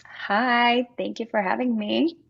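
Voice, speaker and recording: A woman's voice: fairly high pitch, fairly even intonation, takes it slowly, creaky, husky, dull audio. A woman in her thirties from Afghanistan and Pakistan, giving an informal, explanatory personal account as an interview guest. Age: 30s.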